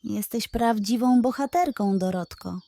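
A woman's voice: fairly high pitch, measured pace, vocal fry, mellow, dry recording. A woman voicing a proud warrior king of hearts in a children's storybook. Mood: grateful.

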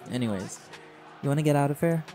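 A man's voice: a little high, lively intonation, fast, close to an everyday voice. An actor voicing a laid-back, teasing male jaguar shifter in an audio roleplay, blunt and flirtatious, with music playing behind.

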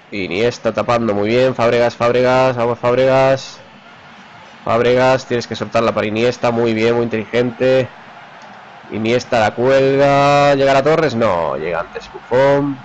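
Man, gamer play-by-play, slightly dull mic, faint hiss. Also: medium pitch. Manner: excited, rapid name-calling of players. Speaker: gamer commentating his own match. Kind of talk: live play-by-play commentary.

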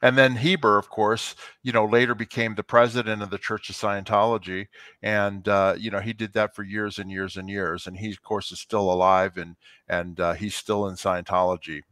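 A man's voice: lowish voice, level tone, normal speed, fairly bright tone, no echo. An older man co-hosting a casual video-call chat from his kitchen, talking through a headset with lots of fillers and affirmations. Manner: chatty, affirming, many fillers. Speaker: co-host. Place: home kitchen, indoors.

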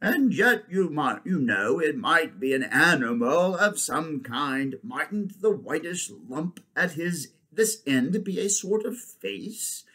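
Female elephant acted by a man, storybook, raised pitch, dignified, mild, hesitant, self-satisfied. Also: sing-song, takes it slowly, hardly any pauses, bright, forceful tone, slightly rough, full character voice. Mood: musing, hesitant. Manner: hesitant, rambling, polite. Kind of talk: storybook reading.

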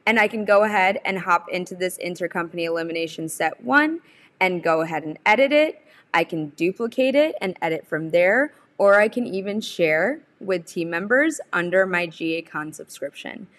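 A young adult woman presenting a software tutorial, explaining each step in an informal, instructional way. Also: on the low side, lively intonation, moderate pace. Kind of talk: instructional screen-share walkthrough.

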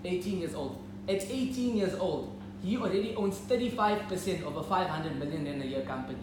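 A man's voice: high voice, animated, brisk. A man in his twenties with a South African accent, giving an emphatic talk as a business speaker and often repeating key points. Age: 20s. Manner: emphatic, repeats key phrases, checks in with listeners. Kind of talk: live public talk to young entrepreneurs. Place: indoor lecture hall. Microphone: camera or phone a few metres away. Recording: very echoey lecture room, clear background noise.

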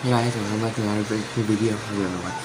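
A young man reacting to an animated series, talking quietly and haltingly in a small room into a phone. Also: background noise. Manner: quiet, halting, low-voiced to avoid waking someone. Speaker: reaction video host. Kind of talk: hushed casual commentary. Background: series audio playing.

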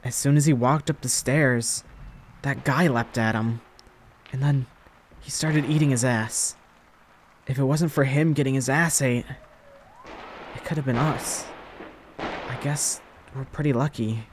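A young slacker boyfriend, acted by a man in an ASMR audio roleplay, with a mid-pitched voice. He rambles casually, swearing and flippant, in a deadpan way. There is noticeable ambience noise behind him. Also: unhurried, pauses often, close to an everyday voice. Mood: casual, reassuring. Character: young slacker boyfriend sheltering his crush from zombies, flippant.

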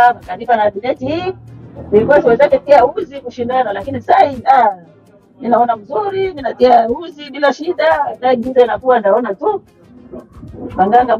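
A middle-aged woman tailor and mother of six giving a grateful, earnest testimonial in her workshop. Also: on the high side. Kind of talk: testimonial in Swahili. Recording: slightly dull lo-fi sound with faint music.

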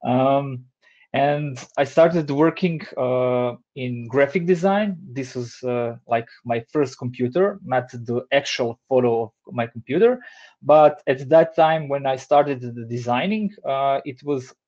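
A man in his thirties speaks English with a Croatian accent, easygoing and halting, with plenty of "uh"s. His voice comes through a headset on a video call. The sound is a bit dull and lo-fi. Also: medium pitch. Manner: relaxed, hesitant with many fillers. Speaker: design lead giving a talk. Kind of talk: online presentation. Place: home room, indoors.

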